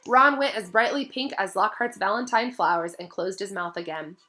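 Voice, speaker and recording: A woman narrating a novel aloud in an expressive storytelling style. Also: mid-range voice, normal speed. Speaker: reader, narrator. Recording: music behind the voice.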